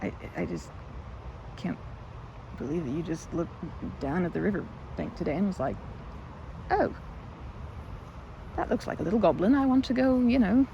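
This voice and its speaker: A woman's voice: lowish voice, moderate pace, gravelly, pauses often, dull audio, background noise. A woman playing a timid, socially anxious young woman in an ASMR roleplay, stammering and rambling nervously as she corrects herself. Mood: flustered, bashful. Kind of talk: ASMR roleplay, intimate one-sided romantic dialogue.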